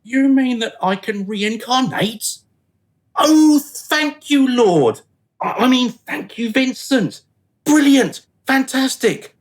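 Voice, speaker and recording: Man acting a flustered, excitable dead soul. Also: very high-pitched, takes it slowly, full character voice. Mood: elated. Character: anxious, pleading dead man, eager to be reincarnated. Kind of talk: radio play acting.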